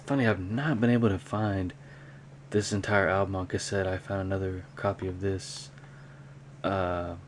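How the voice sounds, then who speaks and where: Man, very deep voice, casual hobby vlogger, halting and rambling, noticeable background noise. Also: fairly even intonation. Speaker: hobby vlogger, cassette collector. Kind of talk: casual unscripted show-and-tell vlog.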